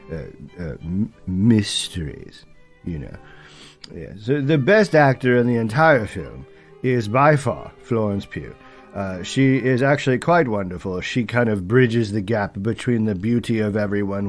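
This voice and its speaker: A low-pitched, doddering old British gentleman, acted by a man delivers a comic film review over music. He is opinionated yet easily muddled, halting, losing his thread and muttering asides as he rambles. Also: close to an everyday voice. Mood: nostalgic, rambling, then approving.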